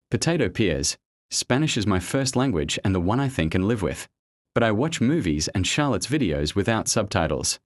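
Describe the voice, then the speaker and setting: A man reading out a first-person online story as a steady narrator, spelling out abbreviations letter by letter. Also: on the low side, no room sound.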